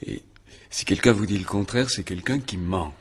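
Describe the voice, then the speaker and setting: An actor playing a stubborn, guarded country man under questioning in a French radio crime drama, blunt, defensive and halting. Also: fairly low pitch, slight background noise, lightly acted. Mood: earnest. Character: stubborn, guarded young male country murder suspect.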